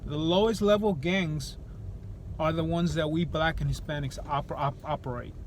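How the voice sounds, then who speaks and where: A man's voice: on the high side, steady tone, moderate pace, slight background noise. A man from El Salvador talking straight to camera in an opinionated vlog, blunt and profane, often restarting his sentences. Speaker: YouTuber.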